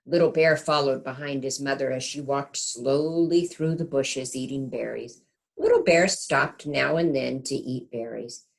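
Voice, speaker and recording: A woman reading a picture book aloud to young children like a kindergarten teacher, adding explanatory asides and gentle questions to the listeners. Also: rumbling low, a little slow, creaky.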